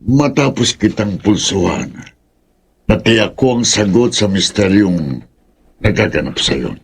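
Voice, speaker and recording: A solemn old village healer, voiced by a man, husky and unhurried in a radio horror drama. His voice sits low and drags each word out. He sounds grave and wise. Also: animated, exaggerated voice.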